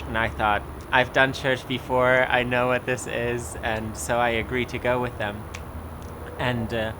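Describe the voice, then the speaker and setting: A man's voice: mid-range voice, background noise. A young man telling his personal testimony in a casual way, with many fillers and restarts. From Germany.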